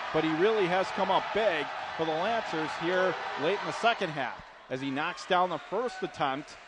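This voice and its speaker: High-pitched man calling basketball on radio. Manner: clipped running description of play in short phrases. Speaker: play-by-play basketball announcer. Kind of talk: live radio sports commentary. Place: indoor basketball arena. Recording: noisy live gym, bouncing ball behind. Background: loud game ambience, basketball bounces.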